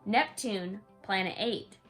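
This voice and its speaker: A woman's voice: mid-range voice, slow, fairly bright tone, soft background music. A woman reading a rhyming bedtime story aloud to a young child, in a sing-song way with casual asides.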